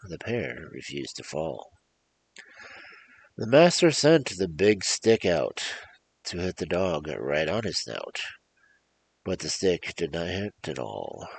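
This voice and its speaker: A man with a gravelly, husky voice hosting a storytelling show, reading fairy tales in a theatrical, emphatic, rhythmic way. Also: sits low. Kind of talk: animated performed fairy-tale reading with casual asides.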